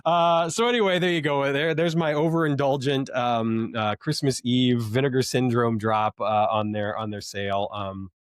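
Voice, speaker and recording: A man's voice: mid-range voice, fairly even intonation, moderate pace, few pauses, clean tone, no room sound, clean studio sound. A man hosting a casual unboxing video, talking enthusiastically in a rambling, hesitant way full of ums. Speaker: host of a film collecting video channel.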